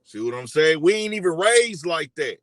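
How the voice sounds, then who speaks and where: A young man hosting a casual commentary stream, animated and repetitive, often asking the audience questions. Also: a little high, moderate pace, no room sound, clean studio sound. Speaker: commentary show host. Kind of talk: casual live commentary to viewers.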